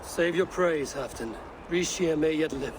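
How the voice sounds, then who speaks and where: An actor playing a stern, relentless Viking warrior in a video game, speaking in a solemn, measured way. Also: male voice, fairly high pitch, background noise, close to an everyday voice. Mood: stern, urgent. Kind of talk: dramatic video game acting.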